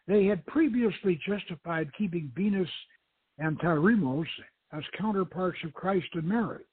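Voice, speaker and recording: Phone-line man reading a novel, high voice. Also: normal speed, slightly rough, no room sound. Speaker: host reading a novel aloud. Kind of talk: dramatic audiobook-style reading. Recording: thin, phone-like radio line.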